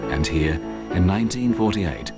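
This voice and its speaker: A man reading scripted documentary narration in a measured, even way. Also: low voice. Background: loud music.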